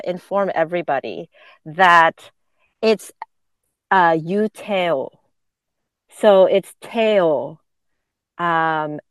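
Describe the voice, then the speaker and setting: A woman co-hosting a relaxed podcast, talking slowly and explaining things with plenty of fillers and easy laughter. Her voice is smooth and clear. The sound is dry and close. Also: mid-range voice, no room sound. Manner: chatty, laughs often, explains pronunciation with fillers. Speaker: podcast co-host. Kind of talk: casual podcast chat.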